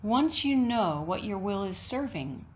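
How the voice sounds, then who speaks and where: A woman teaching yoga nidra, giving a reflective guided meditation talk in measured, deliberate phrases with frequent pauses. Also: medium pitch, sing-song.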